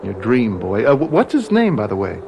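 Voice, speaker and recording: An actor playing an earnest, plain-spoken young law student in a radio drama, with direct, colloquial speech. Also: male voice, fairly low pitch, huge pitch swings, moderate pace, exaggerated voice. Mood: bitter, resigned. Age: young adult. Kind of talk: American radio drama acting. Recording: radio drama with clear background noise.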